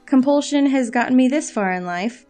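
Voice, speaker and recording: A woman's voice: high voice, animated, normal speed, a little mellow. A woman playing a wry, world-weary agent in a scripted audio drama, with a dry, sardonic delivery. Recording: faint music under the voice.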